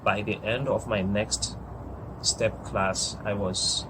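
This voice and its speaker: A man's voice: lowish voice, little pitch movement, slow. A man in his forties from Ghana, telling his personal story in an interview in a reflective, hesitant way with frequent ums. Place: outdoors. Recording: outdoor noise with passing airplanes.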